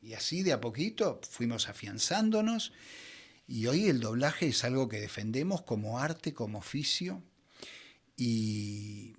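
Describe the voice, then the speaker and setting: A middle-aged Argentine man from Buenos Aires telling anecdotes conversationally as an interview guest, in an expressive, theatrical way. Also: medium pitch, measured pace, slightly rough. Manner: expressive storyteller, acts out quoted dialogue. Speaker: interview guest, veteran voice actor.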